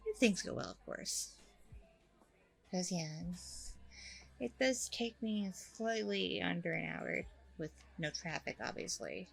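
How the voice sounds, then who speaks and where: A woman's voice: fairly low pitch, takes it slowly, gravelly, soft background music, heavily acted. A woman playing a chatty, apologetic blind date in an ASMR audio roleplay, rambling along casually and a little hesitantly. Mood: friendly, chatty.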